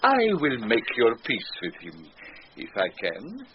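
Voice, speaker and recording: An actor playing a mischievous gentleman conspirator in radio Shakespeare, theatrical and mock-grave as he exaggerates. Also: medium pitch, wildly swooping pitch, measured pace. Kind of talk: radio Shakespeare comedy acting. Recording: muffled, noisy radio drama recording.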